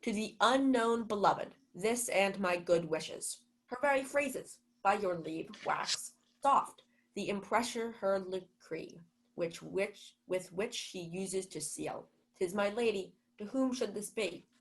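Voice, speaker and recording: A woman's voice: mid-range voice, takes it slowly, rough recording. A young woman acting a pompous, gullible male steward in a Shakespeare comedy radio play, theatrical and self-important. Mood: puzzled, eager. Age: young adult. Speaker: student actor.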